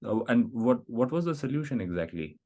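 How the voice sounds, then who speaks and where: A man hosting a casual interview podcast, asking questions in a relaxed way with many fillers. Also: medium pitch, brisk. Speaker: podcast host.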